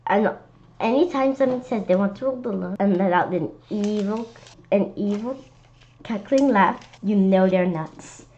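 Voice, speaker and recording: A woman's voice: mid-range voice, normal speed, darkish tone, muffled recording. A woman reading a children's story aloud expressively, often stumbling over and repeating words. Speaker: children's read-aloud host. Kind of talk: animated storybook narration.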